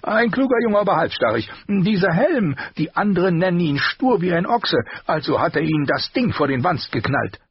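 A man voicing a stubborn older male master armorer in a high, strained, pleading voice. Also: big pitch swings, normal speed, hardly any pauses, husky, no echo, exaggerated voice. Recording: dull lo-fi recording.

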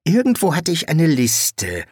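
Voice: medium pitch, wildly swooping pitch, measured pace, a little mellow, husky, no room sound, clean studio sound, pitched above the performer's own voice, full character voice. A narrator voicing an eager, gullible young male demonologist who makes demands and then pleads wistfully. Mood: demanding. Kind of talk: audiobook reading.

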